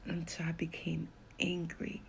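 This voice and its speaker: Middle-aged woman half-whispering a long rambling story, slow, gravelly, a bit of hiss behind. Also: low-pitched, monotone, rough recording. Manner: whispery, hushed delivery; rambling, repeats herself. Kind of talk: personal spiritual testimony.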